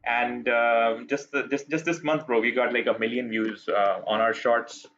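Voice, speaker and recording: A man's voice: medium pitch, steady tone, normal speed, fairly bright tone, muffled recording. A man from Pakistan chatting casually and candidly as he live-streams a game, informal and reflective. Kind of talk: casual live-stream chat during gaming.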